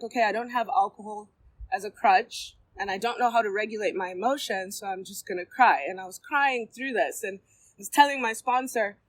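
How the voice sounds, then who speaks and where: Candid woman in her thirties, halting. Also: medium pitch, fairly bright tone, rough recording. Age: 30s. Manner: candid, halting, with many ums and restarts. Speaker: recovery meeting speaker. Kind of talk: personal share at a recovery meeting. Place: meeting room before a seated circle.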